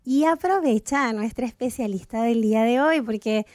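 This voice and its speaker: A woman's voice: fairly high pitch, moderate pace, a little mellow, dry recording, studio quality. A woman from Chile hosting a radio health show, chatty and full of fillers and restarts.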